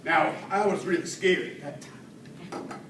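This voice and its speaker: A man delivering a humorous tall-tale speech to an audience in a theatrical way, with dramatic pauses and swells. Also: fairly high pitch, a bit bright. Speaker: speech contest storyteller at a Toastmasters club. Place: indoor room with an audience. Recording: roomy, distant mic, faint noise.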